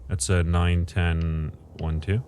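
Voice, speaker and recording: Male gameplay commentator, low voice a bit strained, mumbly think-aloud delivery. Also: level tone, slow pace, a little mellow, slight background noise. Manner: thinks aloud, muttering calculations and self-corrections. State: voice strained lately.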